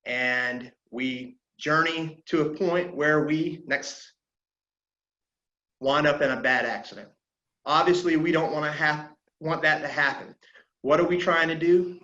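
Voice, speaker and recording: A man from South Carolina leads an online flight safety lesson. His voice sits fairly high, and he talks in an earnest, halting way with many fillers. The audio is dull and lo-fi, with a roomy echo. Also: pushed and bright. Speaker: flight instructor presenting a safety webinar. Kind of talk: webinar presentation. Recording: dull lo-fi audio in an echoey room.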